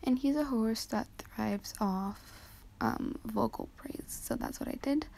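A teenage girl from Canada talking softly over her riding footage, explaining each step with frequent hesitant ums. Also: on the high side, takes it slowly, creaky. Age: teens. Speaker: vlogger.

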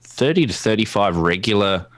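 An Australian man giving an informal technical presentation in a halting, explanatory way. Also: on the low side, lively intonation, dry recording. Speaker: guest presenter, amateur radio enthusiast. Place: indoors at a desk, video call.

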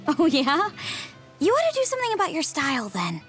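A woman's voice: high-pitched, huge pitch swings, brisk, a bit bright, heavily acted. A woman voicing a friendly, teasing young village girl in a video game, chatty in manner. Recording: music under the dialogue.

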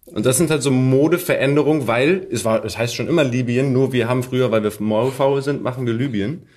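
Man in his thirties with a mid-pitched voice. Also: brisk, darkish tone. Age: 30s. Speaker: podcast host, interviewer. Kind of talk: casual conversational interview.

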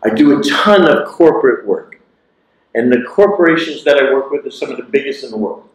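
A man's voice: medium pitch, animated, fairly quick. A man in his sixties giving a motivational keynote speech through a lapel mic, emphatic and repeating his key phrases. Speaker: keynote speaker. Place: large lecture hall. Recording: echoey lecture hall.

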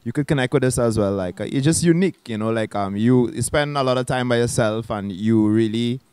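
A man's voice: medium pitch, a little fast, clear, resonant tone, no room sound. A young man with a Trinidadian accent, talking casually and reflectively as an interview guest, holding a handheld mic in a small lounge set. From Trinidad and Tobago. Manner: relaxed, reflective, with restarts and local slang. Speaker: guest musician.

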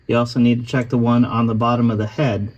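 A man calmly explaining each step of putting an engine back together, voicing over his repair work. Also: mid-range voice, fast, highs cut off. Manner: calm, matter-of-fact explaining. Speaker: host of a car restoration channel.

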